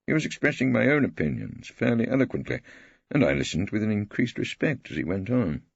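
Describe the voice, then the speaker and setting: An English man narrating a first-person story as an audiobook, in a wry, expressive manner. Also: on the low side, brisk, creaky, breathy edge, dry recording, dull audio.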